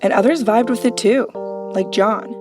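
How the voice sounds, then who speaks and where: A woman's voice: on the high side, normal speed, over music. A woman narrating a documentary podcast from a script in a conversational, punchy way.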